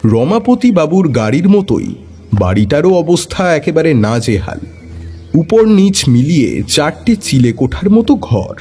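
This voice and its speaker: A man's voice: a little high, big pitch swings, unhurried, mellow. A man narrating a detective story in the first person, with a wry, dryly humorous manner. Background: faint background music.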